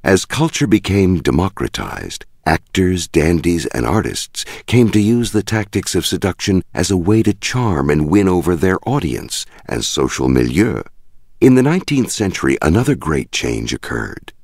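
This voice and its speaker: A man with a gravelly voice reading a nonfiction audiobook in a measured, deliberate way with dramatic emphasis. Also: deep voice, very animated, a little mellow, no room sound, clean studio sound. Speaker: audiobook narrator. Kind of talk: scripted nonfiction audiobook narration.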